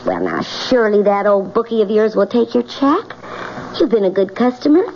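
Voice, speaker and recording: A woman's voice: medium pitch, expressive, normal speed, a little mellow, natural voice. An American actress playing a brassy, scheming wife who impatiently coaxes and manipulates her husband in a 1970s radio drama. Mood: persuasive. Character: scheming, two-faced wife, sweetly coaxing her husband. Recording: dull, noisy old radio broadcast.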